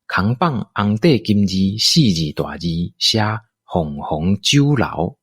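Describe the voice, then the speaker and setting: A man's voice: on the low side, very animated, slow pace, a bit bright, slightly rough, dry recording, clean studio sound. A man with a Taiwanese accent narrating a scripted radio drama as a storyteller.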